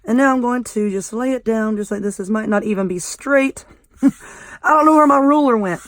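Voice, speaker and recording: A woman with a Southern American accent and a slightly hoarse, croaky, squeaky voice, hosting a casual craft tutorial in a folksy, informal way. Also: normal speed, dry recording, studio quality. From southern United States. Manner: folksy, informal, self-deprecating. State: voice a little hoarse. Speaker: craft video host.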